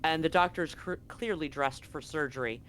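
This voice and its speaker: Higher-voiced man as tabletop game master. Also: brisk, a bit bright, slight background noise. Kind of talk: improvised narration of a tabletop horror game.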